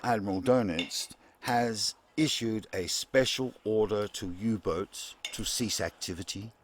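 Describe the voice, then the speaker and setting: A man playing a solemn 1940s wartime radio newsreader, reading a formal bulletin in a measured, deliberate way. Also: fairly low pitch, lightly acted. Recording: clearly noisy recording with clicks.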